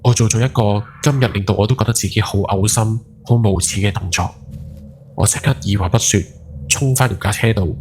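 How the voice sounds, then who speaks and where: A man acting as a haunted Hong Kong taxi driver in his forties, speaking Cantonese in a lowish voice over faint music. He confesses his guilt in a brooding first-person horror podcast story, leaning on the dramatic words. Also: brisk, a little mellow, lightly acted. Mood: haunted, remorseful, then shaken, tense. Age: 40s. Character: haunted guilt-ridden forty-something night taxi driver. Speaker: ghost story podcast narrator. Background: background music.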